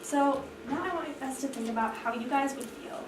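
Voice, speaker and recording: Higher-voiced woman teaching, echoey room. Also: moderate pace. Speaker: trainee teacher rehearsing a read-aloud. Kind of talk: reading aloud and questioning a class. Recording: echoey, distant mic, clear background noise.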